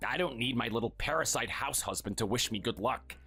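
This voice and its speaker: A performer voicing a cold, contemptuous workaholic husband who talks curtly and dismissively. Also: male voice, mid-range voice, fairly quick, bright, forceful tone, husky, faint music behind. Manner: curt, scornful, dismissive.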